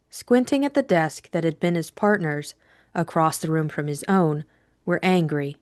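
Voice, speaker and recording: Casting: female audiobook narrator, lowish pitch, measured scripted delivery, dry studio-like sound. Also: a little mellow, no echo.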